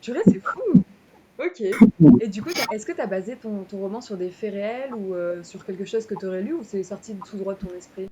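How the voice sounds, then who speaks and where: A woman hosts a casual interview, her voice at an everyday middle pitch. She asks questions in an informal, encouraging way. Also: animated, fairly quick, mellow, muffled recording. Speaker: interviewer and channel host.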